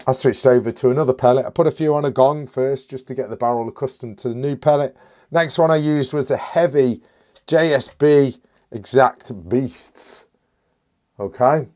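A man, reviewing an air rifle in an enthusiastic, chatty way while hoarse and a bit stuffy from a cold. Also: mid-range voice, darkish tone. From United Kingdom. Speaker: airgun reviewer. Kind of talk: casual product review.

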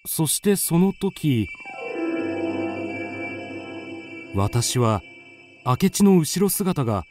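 Earnest amateur sleuth, highly animated. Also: male voice, music in the background. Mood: tense narration. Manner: deliberate, dramatic, polite. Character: earnest young male amateur sleuth, confidently accusing his friend. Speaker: narrator and lead character. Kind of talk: radio drama acting and narration.

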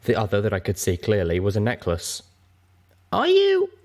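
A man's voice: on the low side, fairly even intonation, fast talker, darkish tone. A man reading scripted first-person fiction as an audiobook narrator.

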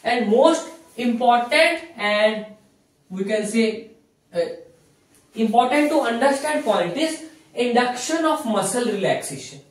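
A man's voice: extremely high pitch, animated, measured pace. A young man with an Indian accent giving a whiteboard lecture in an explanatory way, repeating phrases and posing questions that he answers himself. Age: young adult. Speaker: physiotherapy teacher. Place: small indoor room in front of a whiteboard. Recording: echoey room, distant microphone.

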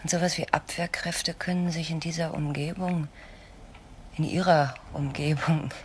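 A woman's voice: low voice, fairly even intonation, moderate pace, darkish tone, a little hiss or hum, close to an everyday voice. An actress playing a guarded, protective woman in a German radio crime drama, speaking insistently and articulately. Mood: grave, insistent. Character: controlling, protective woman shielding a frightened younger friend.

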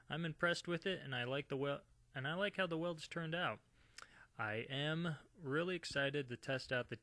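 A man's voice: mid-range voice, fairly bright tone, no echo. A man narrating a welding machine review and tutorial in an informal, conversational way, as a voice-over. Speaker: DIY product reviewer.

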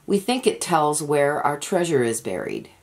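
A woman's voice: low-pitched, very animated, moderate pace, heavily acted. A woman voicing a bossy, threatening male pirate leader in a children's storybook. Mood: demanding. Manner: threatening, roaring.

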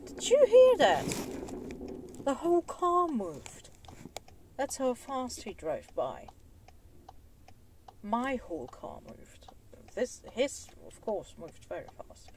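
A woman's voice: fairly high pitch, huge pitch swings, slow pace, pauses often. A young woman raised in Germany, Polish her first language, chatting reflectively in her car with small laughs. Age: young adult. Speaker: vlogger. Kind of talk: casual chat while driving. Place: inside a car at night. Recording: voice distant from the mic, loud road noise.

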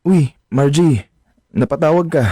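An actor playing a respectful, earnest young son in a radio drama, polite and hesitant. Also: male voice, a little high, a little slow, dark tone, slight background noise, close to an everyday voice. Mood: apologetic. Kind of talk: Filipino radio drama acting.

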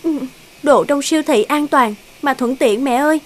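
A woman's voice: sits high, moderate pace, lightly acted. A woman voicing a whiny young cartoon wife. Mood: complaining. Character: young wife and scheming young mistress. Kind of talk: cartoon dubbing.

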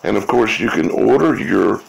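A man giving an informal explanatory monologue in a halting way, repeating words and often checking in with "okay?" or "right?". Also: fairly low pitch, lively intonation, creaky, slightly rough, low-quality recording. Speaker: video creator.

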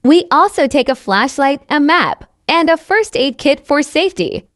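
A woman reading a scripted story for language learners, with careful enunciation and identical, measured phrasing. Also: high voice, big pitch swings, a bit bright, no echo, studio quality. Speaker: narrator of English learning stories.

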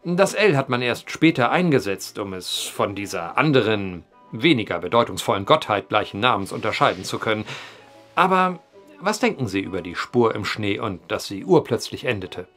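An actor playing a wry male journalist who tells an eerie old tale with deliberate phrasing in an audio drama. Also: lowish voice, sing-song, fairly bright tone, soft background music. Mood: wry, probing. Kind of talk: German audio drama acting, storytelling.

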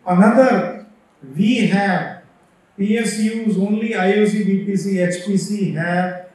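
A middle-aged man with an Indian English accent giving a conference keynote from a podium, emphatic and slowing down to stress figures. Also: high-pitched, steady tone, a little mellow. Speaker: keynote speaker. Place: large auditorium stage. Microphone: podium microphone, heard from far. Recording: echoey hall from far off.

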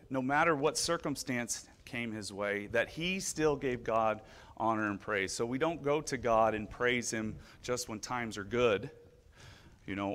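A man's voice: medium pitch, fairly bright tone. A middle-aged man leading a children's sermon in a church, asking the children lots of friendly questions with frequent fillers. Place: church sanctuary with pews. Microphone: far camera.

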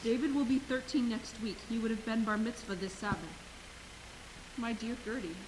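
A young woman acting a resolute, compassionate Mother Superior in a stage play, with earnest, deliberate delivery. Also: on the high side, close to an everyday voice. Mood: solemn, grieving. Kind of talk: amateur stage acting in a high school play. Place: theater stage, indoors. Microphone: distant camera. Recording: hissy stage recording from audience camera.

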